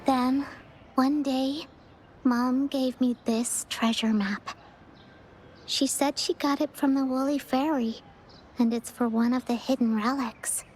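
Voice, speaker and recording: A woman's voice: high-pitched, fairly even intonation, takes it slowly, darkish tone, slight background noise. A woman voicing a hopeful but sad little girl in a video game, speaking in a hesitant, wistful way. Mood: wistful, earnest.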